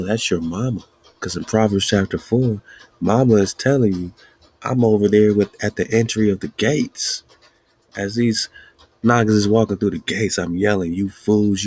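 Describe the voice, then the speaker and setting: Low slow husky man preaching. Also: a little mellow, dry recording. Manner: chanting, emphatic, laughs often. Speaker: podcast host. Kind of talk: preaching-style teaching monologue.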